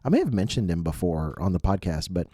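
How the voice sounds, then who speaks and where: A man's voice: deep voice, sing-song, fast talker, warm tone, no echo, studio quality. A man co-hosting a casual religious youth podcast in a chatty, self-deprecating way, full of asides, getting over a mild cold. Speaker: podcast co-host.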